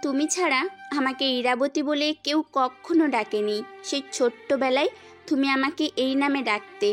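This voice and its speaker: A young female doctor, affectionate and a little teasing, played by a woman in an amateur audio story. Her voice sits high and gentle, with faint music underneath. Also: lightly acted. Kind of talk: amateur audio drama acting. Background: faint background music.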